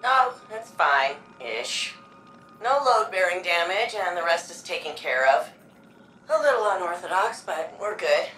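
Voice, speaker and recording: Casting: nerdy futuristic-city archivist, game dialogue, lowish bright voice, informal and chatty. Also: female voice, animated, measured pace, slight background noise, poor audio. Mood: breezy, fond. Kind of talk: video game voice acting, exposition.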